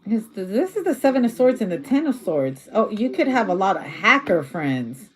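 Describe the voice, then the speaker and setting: A woman's voice: medium pitch, sing-song, moderate pace, rough recording. A woman doing a casual tarot reading who is losing her voice, talking bluntly and swearing freely. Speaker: tarot reader.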